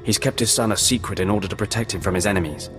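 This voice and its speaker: A man playing a gruff, sarcastic undercover operative in a video game, curt and condescending. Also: on the low side, rapid-fire, over music, natural voice. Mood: businesslike.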